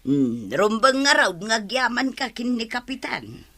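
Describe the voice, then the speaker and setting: A woman's voice: on the high side, very animated, measured pace, sharp tone, heavily acted. A woman with an Ilocano accent acting as a scolding, no-nonsense village woman in a radio comedy drama. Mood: chiding.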